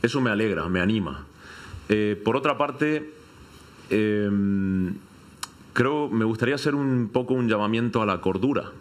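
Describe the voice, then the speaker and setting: Low-voiced, deliberate man from Argentina. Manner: deliberate, organises points, pauses to find words. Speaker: panelist, businessman. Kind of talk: conversational opinion in a round-table debate.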